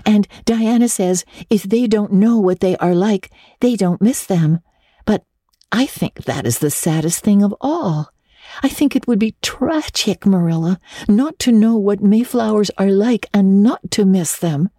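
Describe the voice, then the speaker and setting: A woman voicing a dreamy, talkative schoolgirl in a storybook, with earnest, dramatic and breathy emphasis. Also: mid-range voice, unhurried, warm tone, dry recording, studio quality, pitched above the performer's own voice, close to an everyday voice. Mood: dreamy wonder, then tragic earnestness. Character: dreamy, talkative, romantic schoolgirl with vivid imagination. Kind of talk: dramatic audiobook reading of classic novel.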